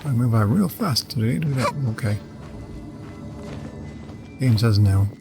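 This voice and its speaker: Male game streamer, casual and low-key, short muttered asides, game music clearly behind. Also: animated, a little slow. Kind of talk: casual gameplay commentary.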